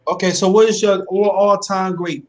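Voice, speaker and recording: Man, fifties, high-pitched, earnest interviewer, casual chat, stammered restarts. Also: fairly even intonation, normal speed. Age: 50s. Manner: earnest, repeats words when starting sentences. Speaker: channel host interviewing a guest.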